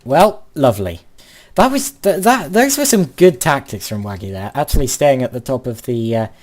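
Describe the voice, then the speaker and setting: A man's voice: medium pitch, sing-song, moderate pace, a little mellow. A teenage boy, commenting on his gameplay with a lot of hesitation and polite, old-fashioned turns of phrase. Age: teens. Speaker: gaming channel host.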